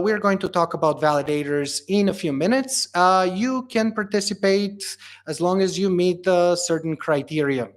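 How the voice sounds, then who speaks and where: Male founder, slightly high voice, relaxed livestream Q&A host, filler-heavy answers. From Bulgaria. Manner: casual, reads questions aloud then answers with many fillers. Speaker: company founder hosting a livestream Q&A. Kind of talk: reading out and answering viewer questions.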